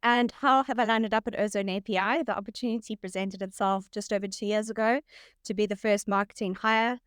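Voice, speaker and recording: A woman from South Africa with a South African accent, talking as a guest in a relaxed interview. She sounds friendly and upbeat, and her voice is smooth and clear. The recording is dry and close. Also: medium pitch, a little fast, no room sound. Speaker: guest, head of marketing. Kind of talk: casual interview chat.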